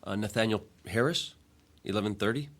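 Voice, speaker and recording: A man's voice: lowish voice, fairly quick, fairly bright tone. An actor playing an eager, harried young man in a radio drama, with a hesitant, earnest and polite manner. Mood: polite, nervous. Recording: music under the voice.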